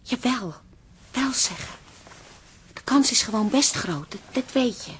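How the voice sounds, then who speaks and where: A fairly high, animated woman's voice in a radio drama. She is a headstrong, frank wife, insistent and direct, with tenderness underneath. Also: measured pace, slightly rough, full character voice. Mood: insistent, tender. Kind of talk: Dutch radio play acting.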